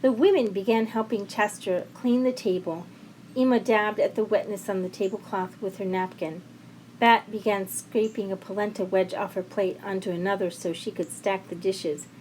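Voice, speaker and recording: A woman narrates a scripted audio story. Her pitch is in the middle range for a woman. A faint ambient noise runs behind the reading. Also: steady tone, moderate pace, a little mellow, low-quality recording.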